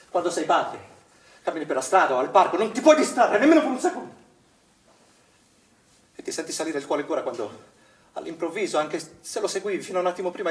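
A male stage actor as an insistent, worried father, speaking quickly and pressing with suspicious questions. The theatrical delivery rings in a roomy space with faint noise behind it. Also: fairly high pitch, lightly acted. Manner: accusatory, pressing with pointed questions. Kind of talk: theatrical stage drama dialogue.

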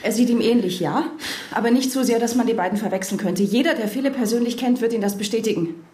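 An actress playing a distraught, insistent wife who also narrates in a German radio drama. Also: female voice, fairly high pitch, quick pace, dark tone, lightly acted. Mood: urgent, insistent. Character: distraught, insistent wife facing an impostor husband. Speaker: lead actress and first-person narrator.

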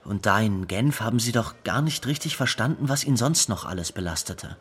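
An actor playing the grieving brother of a dead singer, reminiscing thoughtfully in a radio play monologue. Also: male voice, on the low side, expressive, moderate pace, a bit bright, slightly rough. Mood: reflective, sad.

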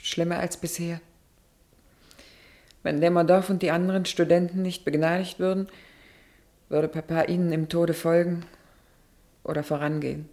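A woman's voice: fairly low pitch, steady tone, normal speed, long pauses, warm tone, natural voice. An actress playing an anxious, pleading middle-aged governor's wife and mother in a German radio drama. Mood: fearful, uneasy.

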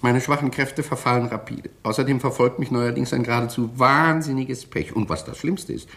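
A man's voice: medium pitch, a little fast, fairly bright tone, natural voice. An actor playing an aging, weary British detective and father in a radio drama, plaintive and evasive. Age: older.

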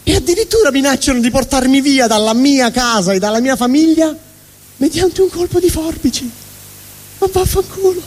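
Guy on stage doing an angry vulgar middle finger, high voice, sardonic comic rant, big echoey hall. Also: animated, normal speed. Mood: indignant, wounded pride. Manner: ranting, sardonic, swooping between mutter and shout. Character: angry, vulgar, honest middle finger griping about his brothers. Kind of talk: theatrical comic monologue on stage. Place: live stage at a fundraising event.

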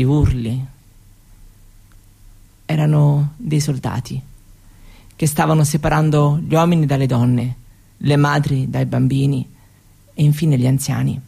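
A woman's voice: deep voice, vocal fry, dark tone, husky, heavily acted. An actress playing a young Roma woman who shyly tells her grandmother's story in a hesitant, conversational theatre monologue. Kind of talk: theatrical monologue told as spoken testimony.

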